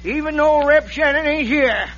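An actor playing a bossy, blustering old frontier judge in a radio western, with a folksy American Western accent and crotchety manner. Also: male voice, very high voice, normal speed, a bit bright. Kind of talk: 1940s-50s radio western acting. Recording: noisy muffled old radio recording.

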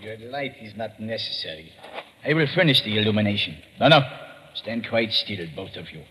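Formal, menacing radio villain, reverberant. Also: male voice, animated, normal speed, bright, forceful tone. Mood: coolly menacing. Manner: formal, pompous, coolly mocking. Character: smooth, menacing, formal male villain, an arms dealer. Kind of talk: 1950s radio drama acting. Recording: old radio recording, boomy reverb, muffled, hissy.

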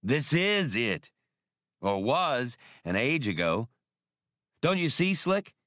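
A man's voice: medium pitch, big pitch swings, slow pace, fairly bright tone, no echo, studio quality, voice pushed up. A man voicing a jovial seasoned adventurer with a hearty, emphatic, colloquial manner in an audiobook. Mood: amused. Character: jovial seasoned male adventurer explaining old war technology.

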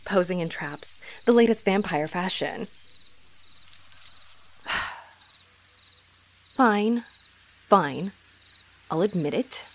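A woman's voice: lowish voice, darkish tone, slight background noise. A woman playing an aggravated, haughty, flirtatious female vampire in a scripted audio roleplay, with mocking, theatrical delivery. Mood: exasperated, sarcastic. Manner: mocking, sardonic, theatrical taunting.

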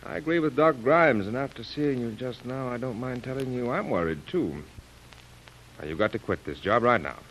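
An actor playing a concerned, commanding friend, earnest and emphatic in 1930s radio drama style. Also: male voice, medium pitch, expressive, normal speed, fairly bright tone, lightly acted, rough recording. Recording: hissy vintage radio recording.

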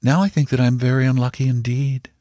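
A weary mother as a male narrator plays her explains things to her child with quiet bitterness. The voice is lifted in pitch, in the manner of audiobook dialogue, and recorded very dry. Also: fairly even intonation, brisk, no room sound, natural voice. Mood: bitter, resigned.

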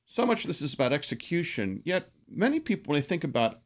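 A man's voice: a little high, big pitch swings, quick pace. A man hosting a business radio show, reflecting on his guest's answers and reading sponsor thanks. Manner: reflective, summarizing. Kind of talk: interview and sponsor reads.

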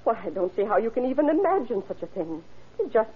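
An actress in an old-time radio drama playing a refined, dignified gray-haired mother with courteous, gentle speech. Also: on the high side, very animated, fast talker, husky. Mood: shocked, disbelieving. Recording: muffled, phone-like old radio recording.

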